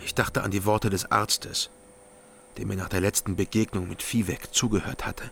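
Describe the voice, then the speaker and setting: Low, gravelly brooding crime-story narrator. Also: male voice, fairly even intonation, moderate pace, fairly bright tone, a little hiss or hum. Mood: sombre, restrained. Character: troubled, sombre East German ex-policeman narrating his story. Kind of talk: first-person radio drama narration.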